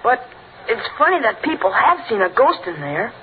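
High-voiced American boy on old radio. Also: very animated, normal speed. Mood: anxious. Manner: naive, pleading. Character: earnest, trusting young American boy, son. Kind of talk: 1940s radio comedy acting. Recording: scratchy, noisy, narrow-band old radio recording.

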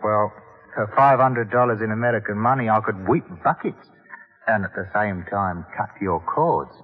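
An actor playing an amiable, mercenary professor in an old radio drama, wry and mock-sentimental, with a husky voice. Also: male voice, lowish voice, normal speed, soft background music. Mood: wry, sly. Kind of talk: 1940s-50s radio acting. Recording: tinny, reverberant old radio recording.

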